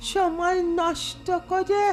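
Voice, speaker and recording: A woman voicing a sorrowful old rustic ghost in a children's cartoon, with drawn-out, plaintive speech. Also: extremely high pitch, level tone. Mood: earnest, regretful. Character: sorrowful elderly ghost of a poor treasure-seeker. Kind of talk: children's cartoon story acting.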